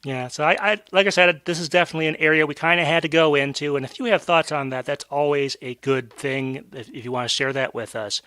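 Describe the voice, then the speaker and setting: A man's voice: on the high side, quick pace, few pauses, pushed and bright, no echo. A man hosting a podcast in casual discussion, rambling with many fillers and restarts. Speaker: podcast host.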